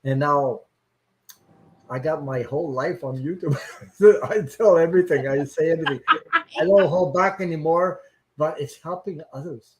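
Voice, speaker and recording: A man hosting a live-stream chat, talking in an earnest, halting way on a video call. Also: a little high, a little mellow, a little hiss or hum, poor audio. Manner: earnest, halting, stops and restarts as he opens up. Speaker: host.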